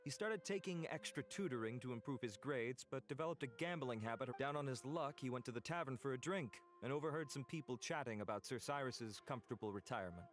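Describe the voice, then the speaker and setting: An actor playing a dutiful guard in a video game, giving a formal, factual report. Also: male voice, mid-range voice, expressive, fast talker, hardly any pauses, soft background music, lightly acted.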